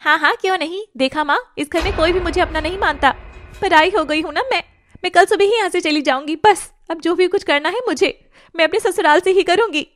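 A woman voicing a jealous, sulky young daughter who whines and complains in an animated family drama. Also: extremely high pitch, fairly quick, soft background music, voice pushed up. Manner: whining, complaining.

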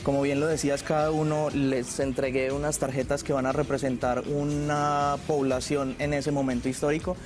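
A young man from Colombia, a teacher explaining as a studio guest, hesitant and pausing as he goes, on a lapel mic. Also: mid-range voice, little pitch movement. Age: young adult. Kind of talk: informal explanation in a TV interview. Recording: music bed behind the voice.

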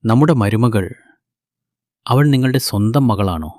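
Man acting a slow, insistent, anxious landlord. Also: on the low side, expressive, a little mellow, no echo, clean studio sound. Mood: anxious, demanding. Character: anxious middle-aged male landlord demanding the truth. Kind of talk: dramatic cartoon story dialogue.

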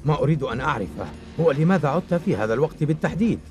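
An urgent young-sounding hero in an action cartoon , acted by a man, pitched high. He speaks at great speed and with eagerness, music playing loudly behind him. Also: animated, very fast, lightly acted. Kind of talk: dubbed cartoon acting.

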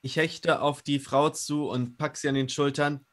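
A man playing in a tabletop roleplay session, speaking in character with deliberate, dramatic repetition. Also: on the high side, flat delivery. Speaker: roleplay player. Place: room at home.

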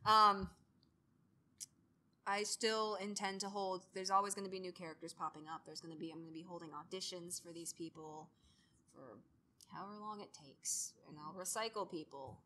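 Bright-voiced young woman presenting informally. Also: lowish voice, fairly even intonation, normal speed, a little hiss or hum. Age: early 20s. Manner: self-deprecating, rambling. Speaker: student audio drama creator giving a presentation.

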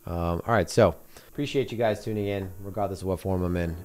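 Low-voiced man losing his voice. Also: a little fast, darkish tone. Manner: casual, explanatory, with frequent "right?" and "obviously". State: losing his voice after an illness. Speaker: crypto trading channel host. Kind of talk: screen-share tutorial walkthrough.

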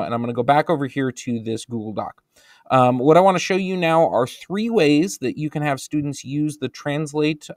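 A man with an American accent narrating a screen-recorded software tutorial for teachers, relaxed and explanatory, with frequent ums and uhs. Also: medium pitch, no room sound, studio quality. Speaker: instructional technology trainer.

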